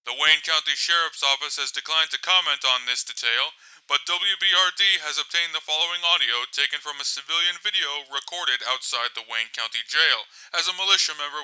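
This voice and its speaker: A man's voice: a little high, sharp tone, clear, resonant tone, poor audio. A man playing a composed local news reporter, reading a scripted report in a serious, formal way.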